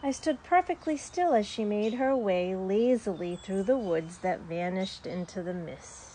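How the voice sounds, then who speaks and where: A woman reads a children's storybook aloud in a mid-pitched voice. She tells it expressively, drawing out words for young listeners. Some background noise sits behind her. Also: expressive, measured pace, warm tone, poor audio.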